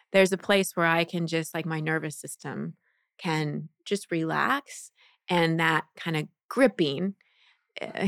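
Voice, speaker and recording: A woman's voice: fairly low pitch, gravelly, no room sound, clean studio sound. A woman coach talking earnestly and reflectively in an interview, with many "like"s. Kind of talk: casual interview chat.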